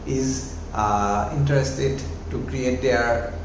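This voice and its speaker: A young man from Assam with an Assamese accent, English not his first language, giving halting casual advice to camera on a lapel mic at home, with many restarts and fillers. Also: mid-range voice, fairly even intonation. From Assam, India. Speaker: YouTube host. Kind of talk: casual talking-head advice. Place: small room at home, indoors. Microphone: clip-on lapel mic. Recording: very echoey room with audible noise.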